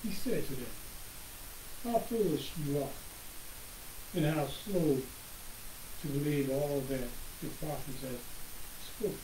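An older man preaching as a pastor from his home office with a slightly hoarse voice, reading scripture in a slow, measured way and clearing his throat at times. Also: fairly high pitch. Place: home office, seated at a desk. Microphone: webcam across the room. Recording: roomy webcam recording with hiss.

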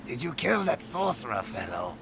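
A man's voice: mid-range voice, sing-song, normal speed, vocal fry, a crowd in the background. An actor playing a wry, resigned old man, wounded and fading, in a dramatic fantasy game. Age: older. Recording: loud game score behind voice.

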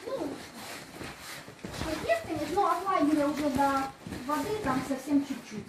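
A woman working as a cave guide, explaining informally and haltingly, with many pauses. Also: high voice, animated. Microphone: handheld camera a few steps away. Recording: echoey, distant, noisy cave recording.

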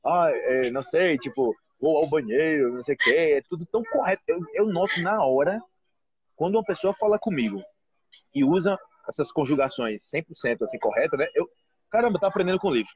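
A man's voice: a little high, animated, a little fast, husky, dry recording, muffled recording. A Brazilian man in his thirties, a Portuguese teacher, chatting casually and animatedly on a live video call from a restaurant, often asking "entendeu?". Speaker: Portuguese teacher and channel host. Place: restaurant, indoors. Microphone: phone held close, front camera.

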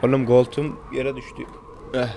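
A man's voice: mid-range voice, level tone, moderate pace, gravelly, warm tone, slight background noise. A man in his twenties giving casual, slangy, jokey reaction commentary as a video host. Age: 20s. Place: small indoor room with brick-patterned wall.

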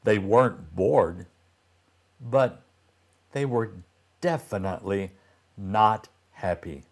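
An older man reading a children's story aloud with expressive, deliberate and emphatic delivery. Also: lowish voice. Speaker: storybook reader for young children.

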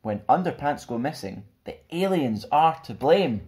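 A young man presenting and reading for children in an expressive storytime style, recorded indoors at about arm's length from the camera. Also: a little high, moderate pace. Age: young adult. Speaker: storytime host reading a picture book. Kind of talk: expressive children's read-aloud with friendly presenting. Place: small plain indoor room.

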